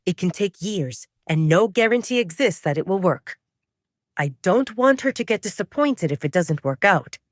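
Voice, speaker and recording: A woman's voice: on the low side, animated, moderate pace, no echo, studio quality, lightly acted. A woman voicing a protective male werewolf pack leader in an audio drama, with terse, decisive delivery. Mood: firm, protective.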